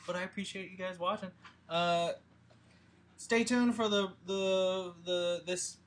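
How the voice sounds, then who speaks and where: Higher-pitched man, rambling casually. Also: fairly bright tone. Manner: rambling, halting, thinking aloud. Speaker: host and filmmaker. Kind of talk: casual livestream discussion.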